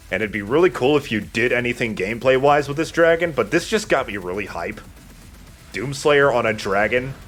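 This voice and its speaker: A man giving casual, opinionated and sometimes sweary video game commentary alongside a co-host. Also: medium pitch. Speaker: let's play commentator. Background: game music.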